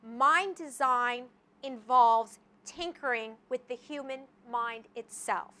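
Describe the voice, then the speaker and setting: A woman giving an academic lecture to an audience, lively, with asides and rhetorical questions tagged on with "right?". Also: a little high, slow pace. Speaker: guest lecturer. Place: lecture hall. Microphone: handheld or podium mic.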